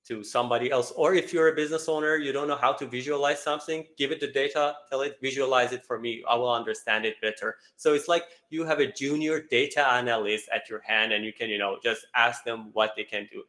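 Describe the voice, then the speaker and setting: A man's voice: mid-range voice, fairly even intonation, brisk, few pauses, sharp tone. A man on a panel over a video call who explains things patiently, leaning on everyday examples and lots of "you know.". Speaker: panelist, digital marketer.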